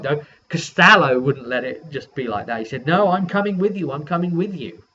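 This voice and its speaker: A man tells the story of his tabletop game in a casual, animated manner, voicing the characters' remarks as he goes. His pitch sits in the middle range for a man. The recording is slightly dull, with faint noise behind him. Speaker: game master recapping a tabletop campaign.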